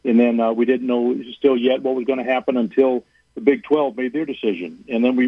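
A man's voice: mid-range voice, husky, no echo. A man, an athletic director, talking earnestly on a radio sports show, rambling with many fillers and restarts. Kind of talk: radio interview on a phone line. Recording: tinny phone line, dry.

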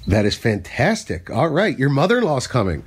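A man, a stand-up comic, trading stories on a podcast. His voice sits in a middle register. He talks in loose, self-deprecating anecdotes that get animated when he acts out a bit. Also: mid-range voice, moderate pace, husky. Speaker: stand-up comedian and podcast host. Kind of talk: casual podcast chat.

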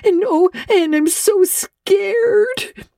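A woman playing a frightened little girl, tearful and shaky as she pleads. The voice climbs very high and wavers. It is recorded close and dry. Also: very slow, vocal fry, mellow, no echo, exaggerated voice.

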